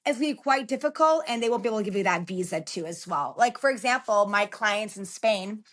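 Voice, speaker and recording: A woman's voice: mid-range voice, lively intonation, fairly quick, a bit bright. A woman in her thirties from Chicago, a guest on a podcast, talking in a chatty, encouraging way with a lot of filler words. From Chicago, United States. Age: 30s. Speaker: podcast guest, relocation consultant. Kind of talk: casual interview talk.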